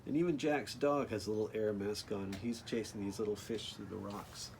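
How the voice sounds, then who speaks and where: A man's voice: lowish voice, a little fast, vocal fry, a little mellow, a little hiss or hum. A man reading a children's picture book aloud expressively, with casual asides addressed straight to young listeners. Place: outdoors.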